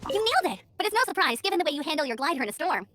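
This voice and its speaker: A woman's voice: high-pitched, sing-song, racing, over music. A woman playing a cheerful, eager teenage girl scout in a video game, earnest and informal. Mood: upbeat, excited.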